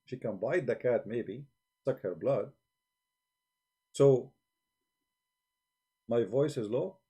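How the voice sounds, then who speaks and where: Man, live-stream host, mellow voice, blunt challenging talk, broken English, desk microphone. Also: medium pitch, slow pace, slightly rough. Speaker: livestream host.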